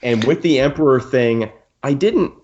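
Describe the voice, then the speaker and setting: A man's voice: medium pitch, normal speed, darkish tone, dull audio. A man co-hosting a podcast in a casual fan discussion, rambling and interrupting himself as he goes. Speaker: podcast co-host.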